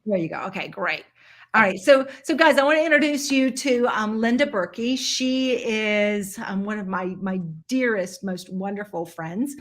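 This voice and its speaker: A middle-aged woman hosts a sales meeting over a video call. She talks in a chatty, rambling way full of ums and restarts, at a middle pitch. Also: moderate pace. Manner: chatty, warm-hearted storytelling with fillers. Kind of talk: casual introduction on a video call.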